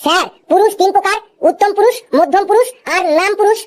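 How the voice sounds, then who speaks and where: Extremely high, squeaky little cartoon pupil. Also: female voice, fast. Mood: confident reciting. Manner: recites answers confidently. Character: confident, cheeky young school pupil in a comedy cartoon. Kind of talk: cartoon comedy dialogue.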